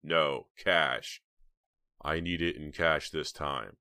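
Man playing a calm, smug, very deep-voiced blackmailer. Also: dry recording, studio quality, heavily acted. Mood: smug, cool. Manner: slow, unruffled, needling.

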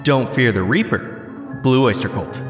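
A man's voice: medium pitch, a little slow, close to an everyday voice. A man playing a skeptical, defiant college student in his early twenties in an audio drama, speaking in a challenging, sardonic way. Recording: echoing lecture room, faint music.

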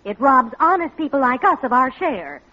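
High-voiced, principled radio housewife. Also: female voice, very animated, moderate pace, bright, forceful tone, full character voice. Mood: indignant. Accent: American. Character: sensible, principled American housewife, gently firm with husband. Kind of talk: 1940s radio comedy acting. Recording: muffled, noisy old radio recording.

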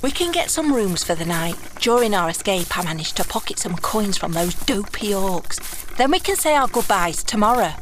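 A woman playing a sardonic, self-serving thief in a fantasy audio drama, brisk and dismissive. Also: mid-range voice, sing-song, a bit bright, slight background noise, low-quality recording. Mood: matter-of-fact.

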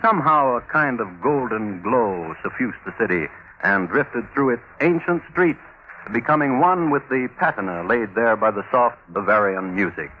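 A man hosting and narrating in 1950s radio crime storytelling style, wry and measured with theatrical pauses. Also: mid-range voice, lively intonation, bright, forceful tone, poor audio. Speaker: host and narrator. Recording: old radio recording with faint noise.

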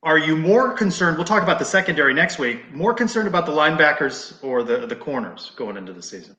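Echoey room sound; male sports host, American, bright forward voice, conversational. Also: on the high side, lively intonation, fast talker, dull audio. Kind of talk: conversational hosting, asking questions.

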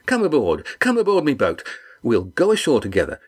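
A man's voice: medium pitch, huge pitch swings, brisk, breathy edge, voice pushed up. A man voicing a big, hearty red-haired fisherman skipper with boisterous, folksy old-fashioned speech.

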